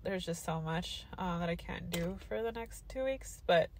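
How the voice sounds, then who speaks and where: A woman's voice: lowish voice, normal speed, a little mellow, slight background noise. A young woman talking into her phone in a parked car, nasal and congested after sinus surgery, loopy and drowsy from medication. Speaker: vlogger. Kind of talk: casual recovery vlog. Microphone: phone at arm's length.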